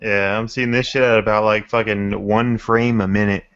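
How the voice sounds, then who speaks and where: A man's voice: lowish voice, flat delivery, moderate pace, a bit bright, clear, resonant tone. A man chatting on a live call, teasing and swearing often. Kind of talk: casual chat. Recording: dull lo-fi online call audio.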